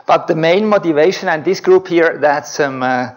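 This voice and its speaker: A man from Switzerland with a Swiss German accent, German his first language, giving an academic lecture in a halting way with many filler pauses. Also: on the high side, muffled recording. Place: lecture hall.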